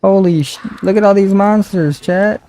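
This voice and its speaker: A man's voice: high-pitched, moderate pace, dark tone. A man doing casual, slangy live stream commentary over a game, with joking complaints.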